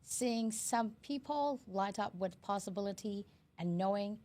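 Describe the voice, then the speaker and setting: A woman's voice: mid-range voice, expressive, measured pace, warm tone. A woman in her thirties with a Vietnamese accent, telling her story earnestly as an interview guest in non-native phrasing. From Vietnam, Hanoi. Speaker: interview guest, educator and CEO.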